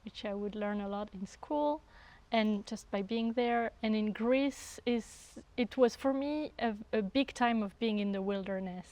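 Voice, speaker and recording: A woman in her thirties from France, a guest sound artist talking unhurriedly and often pausing to search for words. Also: fairly high pitch, darkish tone, poor audio. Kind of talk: casual conversation.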